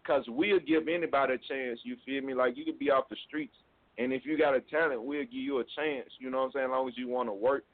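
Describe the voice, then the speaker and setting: A man's voice: medium pitch, steady tone, quick pace. A man, a music label guest, talking casually and often saying "you know what I'm saying". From Mississippi. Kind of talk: casual phone interview. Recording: tinny phone line.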